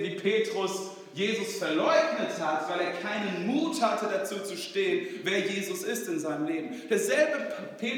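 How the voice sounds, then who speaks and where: High-voiced preacher in an echoey hall. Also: male voice, fairly bright tone. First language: Swedish. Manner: chatty, many fillers and tag questions. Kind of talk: informal sermon. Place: church hall. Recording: roomy hall reverb.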